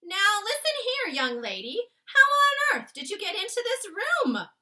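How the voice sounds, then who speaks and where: A woman's voice: extremely high pitch, huge pitch swings, unhurried, bright, forceful tone, distant mic, pitched above the performer's own voice, full character voice. A woman voicing a flustered, loyal middle-aged palace maid, exclamatory and deferential in a storybook reading. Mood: angry. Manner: deferential, exclamatory, addressing her mistress as ma'am.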